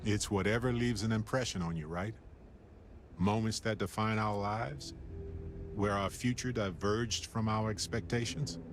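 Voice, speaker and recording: A man playing a warm, sincere middle-aged space explorer in a video game, speaking in a measured, wistful way. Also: lowish voice, steady tone, a little hiss or hum, close to an everyday voice. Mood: wistful, reflective. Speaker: video game character actor. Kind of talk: dramatic video game dialogue.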